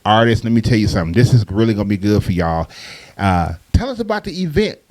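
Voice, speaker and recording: A man with a fairly low voice hosts a local TV interview show. He talks in a laid-back, casual way and laughs easily with his guest. He grew up in Milwaukee. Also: fast talker, no echo. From Chicago, raised in Milwaukee. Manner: relaxed, laughs easily, street-casual phrasing. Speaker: TV show host.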